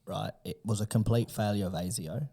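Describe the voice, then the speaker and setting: Fast, blunt Australian man. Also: on the low side, gravelly, warm tone, husky. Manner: blunt, emphatic, heavy swearing. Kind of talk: opinionated casual podcast talk.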